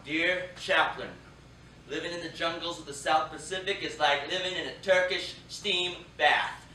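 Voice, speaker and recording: Man acting bright-voiced wry GI, echoing room. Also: a little high, fairly even intonation, normal speed. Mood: weary, wry. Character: earnest, wry young American GI writing home. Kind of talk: theatrical reading of letters and verse. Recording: roomy, distant theatre recording, faint noise.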